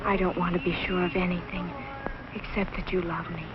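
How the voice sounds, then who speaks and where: A woman's voice: on the low side, level tone, brisk, close to an everyday voice. An actress playing a lovestruck, earnest young bride in a 1950s educational film drama, pleading with her sweetheart. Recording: muffled, noisy 1950s film soundtrack.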